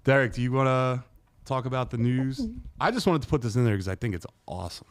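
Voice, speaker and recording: A man's voice: medium pitch, expressive, fast, dry recording. A man co-hosting a casual movie podcast, talking in slangy, opinionated group chat. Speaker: podcast co-host.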